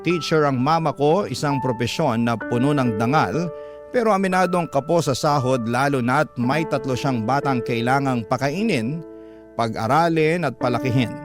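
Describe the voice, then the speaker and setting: Man acting grown guy telling his life story, sentimental radio drama narration, medium pitch, music behind. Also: close to an everyday voice.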